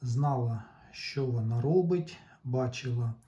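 A man giving a casual, hands-on technical explanation in a deliberate, halting way, pausing mid-sentence. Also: mid-range voice, warm tone, muffled recording. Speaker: tape recorder repair vlogger.

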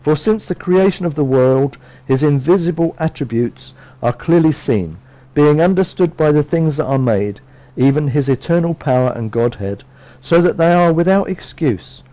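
A middle-aged man giving a sermon from a lectern in a small bright room, earnestly explaining and reading scripture verses aloud. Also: a little high, lively intonation, poor audio. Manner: measured, earnest, reads verses aloud from a book. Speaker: preacher.

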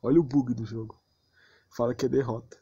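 A man's voice: mid-range voice, steady tone, brisk, mellow, breathy edge. A Brazilian man giving casual, slangy commentary on gameplay. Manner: slangy, informal. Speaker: gaming channel host. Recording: muffled lo-fi voice recording.

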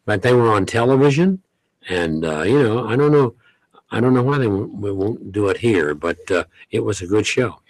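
A man's voice: on the low side, expressive, moderate pace, no room sound, low-quality recording. An older man, a longtime radio host, chatting in a radio studio and telling anecdotes with small chuckles. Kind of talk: relaxed reminiscing studio talk. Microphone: studio mic, headphones. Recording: dry close studio sound.

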